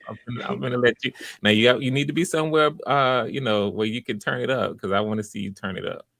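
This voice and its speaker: A man's voice: mid-range voice, quick pace, creaky, fairly bright tone, dry recording. A man hosting auditions and giving encouraging, conversational feedback, often restarting his phrases. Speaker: audition host and director.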